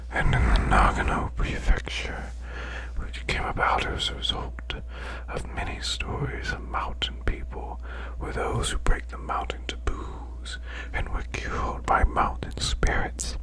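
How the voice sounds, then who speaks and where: A man voicing a demon boss in a breathy, growling whisper, reading folklore slowly as soothing bedtime ASMR. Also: rough recording. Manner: slow, hushed, deliberate recitation. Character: male demon butler's boss, growling softly, soothing storyteller.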